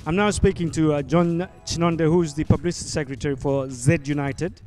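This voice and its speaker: A man's voice: on the high side, steady tone, brisk, a little mellow, faint music behind. Hesitant male interviewer at an event. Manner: hesitant, many ums and restarts.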